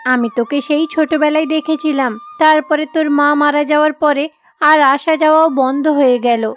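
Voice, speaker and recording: Woman acting caring aunt, high voice, motherly, chatty, affectionate, cartoon fairy tale, music underneath. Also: natural voice. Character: kindly, caring village aunt, motherly and reassuring.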